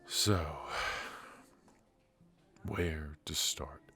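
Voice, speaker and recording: A man playing a suave, ruthless mafia boss in a noir audio roleplay, confiding in a measured, intimate, smug way with hesitant pauses. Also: extremely low pitch, pushed and bright, full character voice. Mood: confiding, grave. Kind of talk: noir ASMR roleplay monologue.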